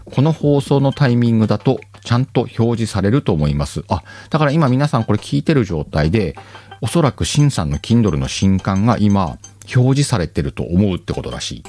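A man hosting his own show, reading listener letters in a relaxed, chatty way, laughing often and stumbling over words. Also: lowish voice, fairly quick. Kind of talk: casual solo chat.

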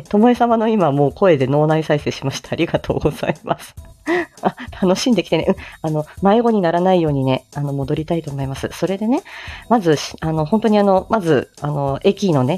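A woman's voice: low voice, mellow. A woman chatting casually on a morning livestream in a polite, giggly, talkative way. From Japan, outside Tokyo. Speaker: livestream host, amateur voice drama performer.

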